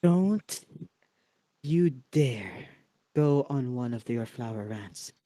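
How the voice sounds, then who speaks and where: A man's voice: medium pitch, animated, slow pace, dark tone, no echo, clean studio sound. A man playing an exasperated teenage wizard schoolboy in an amateur audio drama.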